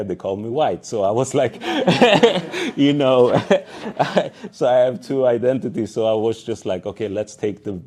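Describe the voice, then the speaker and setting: A man's voice: lowish voice, a little mellow. A young man from Sweden telling his founder story on a panel, acting out quoted dialogue with many fillers. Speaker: entrepreneur, panel speaker.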